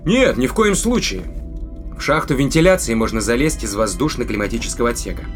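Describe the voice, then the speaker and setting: An actor playing a cold, calculating spaceship crewman in an audio drama, speaking in a deliberate, commanding way with a cruel streak. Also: medium pitch, expressive, soft background music, natural voice. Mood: calculating, firm.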